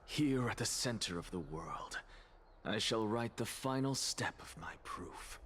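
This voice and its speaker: A man's voice: lowish voice, animated, unhurried, a bit bright, slight background noise. An actor playing an arrogant, intense male scholar who talks in a haughty, commanding way and sighs often. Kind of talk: video game voice acting.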